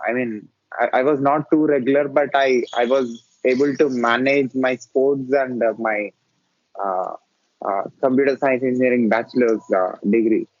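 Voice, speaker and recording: A man's voice: medium pitch, no echo. A man hosting a casual interview podcast, talking hesitantly with many filler sounds and restarts. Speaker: podcast host. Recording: dull dry lo-fi audio.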